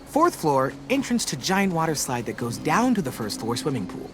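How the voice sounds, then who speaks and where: High-pitched, animated American teenage boy. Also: normal speed. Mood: excited, joking. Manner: animated, playfully theatrical. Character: wisecracking, dramatic teenage boy survivor, tender underneath. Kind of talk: video game voice acting, casual dialogue. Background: background music.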